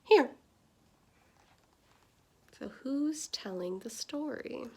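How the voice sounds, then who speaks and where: A woman's voice: mid-range voice, very animated, slow pace. A woman reading a picture book aloud as a storyteller, putting questions to her listeners.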